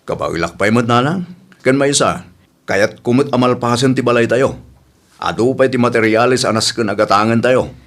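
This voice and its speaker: A man's voice: medium pitch, normal speed, lightly acted. A man playing a dutiful, hardworking son in a radio drama, respectful and reassuring.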